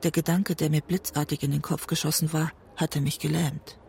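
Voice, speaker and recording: An actress playing a haunted woman looking back on her marriage, husky and breathy, in grave, restrained audio drama narration. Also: low-pitched, flat delivery, normal speed, a little mellow. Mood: haunted, tense. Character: haunted woman writer recalling a destructive marriage. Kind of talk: literary first-person audio drama narration.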